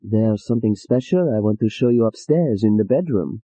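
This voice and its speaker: A woman voicing a smooth, predatory male seducer who speaks in a low, insistent, coaxing way. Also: lively intonation, moderate pace, dark tone, no echo, low-quality recording.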